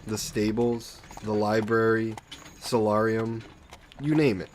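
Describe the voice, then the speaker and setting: A man's voice: lowish voice, steady tone, slow pace, darkish tone, slight background noise. A man playing an aristocratic vampire lord in an audio roleplay, suave, smug and condescending, with a genteel, coaxing, possessive manner. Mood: smug, reassuring. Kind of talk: scripted roleplay audio drama monologue.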